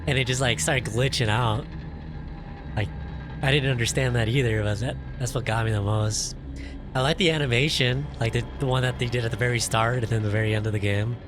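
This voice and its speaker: Male gaming host, mid-pitched, rambling and unscripted, with clear background music behind him. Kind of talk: casual playthrough commentary. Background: game music.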